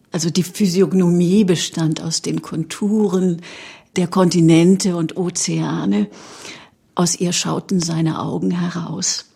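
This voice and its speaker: Slow, husky woman with a creaky voice. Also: on the low side, warm tone. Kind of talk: reflective spoken reminiscence.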